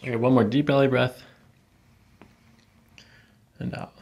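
A middle-aged man working as a chiropractor, calmly guiding a patient in short, reassuring phrases in an ASMR style. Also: mid-range voice, unhurried, a little hiss or hum. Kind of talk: calm hands-on ASMR treatment talk, instructing a patient. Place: small carpeted treatment office, indoors. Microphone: microphones on boom stands near the table.

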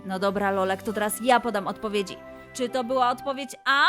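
A woman presenting a children's quiz as host, posing questions and answers in a clear way for young listeners. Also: medium pitch, a little fast, music in the background.

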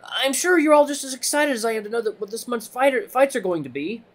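A man voicing a timid, nervous little male rabbit making announcements in an audio story, halting and stammering. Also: very high voice, animated, voice pushed up.

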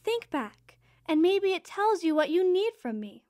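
A woman playing a kindly, quirky goddess queen of spring in an audio drama, nurturing and gently formal. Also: very high voice, sing-song, unhurried, no echo, studio quality, heavily acted.

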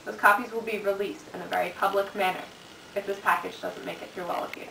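A woman's voice: mid-range voice, fairly even intonation, vocal fry, pushed and bright, reverberant. A teenage girl acting as a bitter, sardonic dead girl narrating confession tapes in a student film. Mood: cold, controlled. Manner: deliberate, sardonic, dramatic delivery. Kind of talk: scripted dramatic monologue for amateur student film. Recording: hissy, distant amateur room recording.

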